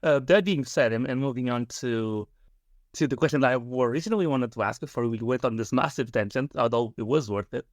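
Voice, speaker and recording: A man's voice: mid-range voice, fairly quick, no room sound, clean studio sound. A man in his twenties co-hosting a podcast, asking casual, chatty questions with many fillers. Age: 20s. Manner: chatty, tangent-prone with fillers. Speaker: podcast co-host. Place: Portugal.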